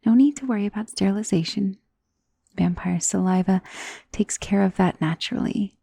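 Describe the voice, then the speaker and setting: A woman playing a centuries-old, wealthy vampire in an ASMR roleplay, doting and possessive, speaking in a soft, intimate, genteel and commanding manner. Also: medium pitch, vocal fry, breathy edge, no echo, clean studio sound. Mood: tender, possessive. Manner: soft, intimate, genteel, unhurried endearments, often dropping into whisper. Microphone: close microphone in a dry space.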